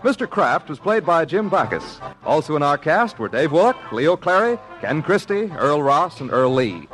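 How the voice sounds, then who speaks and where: Animated 1950s male radio announcer over music. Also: fairly high pitch, normal speed, rough recording.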